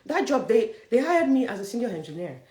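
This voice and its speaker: A young Nigerian woman with a Nigerian accent, giving a forceful, preachy motivational career talk that challenges her listeners directly. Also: on the high side, big pitch swings, brisk, reverberant. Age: young adult. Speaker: career coach.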